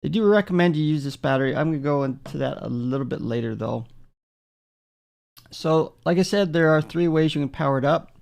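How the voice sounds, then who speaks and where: A man giving a casual, unscripted product demonstration in a gravelly voice, halting often with filler pauses. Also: a little high, darkish tone. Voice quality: gravelly, creaky. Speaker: product reviewer.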